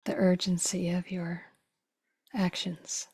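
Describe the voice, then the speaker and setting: A woman guiding a meditation in a soft, breathy voice that often drops toward a whisper, with slow, gentle phrases and long pauses. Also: on the low side, little pitch movement. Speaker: meditation teacher. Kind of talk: guided meditation.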